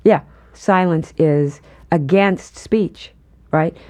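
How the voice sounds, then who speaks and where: Thoughtful woman, low mellow voice. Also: drawn-out words. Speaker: guest, performer. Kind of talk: conversational interview.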